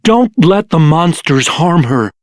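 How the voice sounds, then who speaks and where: A man's voice: on the high side, big pitch swings, takes it slowly, no echo, clean studio sound. A man playing an injured, worried companion in a video game, earnest and strained. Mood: worried, pained. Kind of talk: video game dialogue acting.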